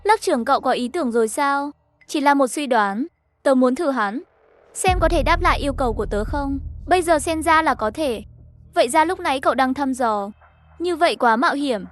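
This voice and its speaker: A woman's voice: sits high, lively intonation, fairly quick. A woman reading a scripted horror story, narration and character dialogue alike. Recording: music playing behind the voice. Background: background music.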